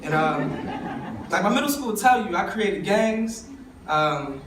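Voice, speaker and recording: A young man speaks in a high voice, telling his own story in an emotional, conversational way and talking straight to the crowd. He stands away from the mic in a roomy, reverberant hall. A faint hum of the room sits behind him. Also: lively intonation, unhurried, a bit bright. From East Baltimore. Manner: emotional, conversational, addresses the audience directly. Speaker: summit speaker. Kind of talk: personal storytelling speech. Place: conference hall. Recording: echoey room, voice from a distance.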